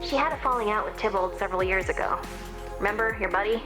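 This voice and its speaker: A woman's voice: medium pitch, fast talker, music in the background, lightly acted. A woman playing a bossy, no-nonsense mission officer in a video game, with a sardonic, blunt and casual delivery. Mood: businesslike. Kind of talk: scripted video game dialogue.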